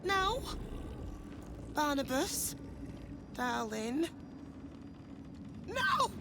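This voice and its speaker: A high, cooing sinister cultist. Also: female voice, very animated, extremely slow, very noisy, exaggerated voice. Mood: alarmed. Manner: cooing, honeyed, condescending. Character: sweet-talking sinister woman cultist.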